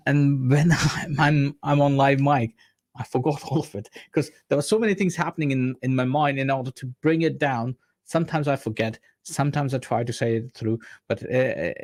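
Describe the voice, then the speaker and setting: A male radio host with an Urdu accent, talking fast with many fillers and restarts. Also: a little high, no echo. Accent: Urdu-speaking. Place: radio studio.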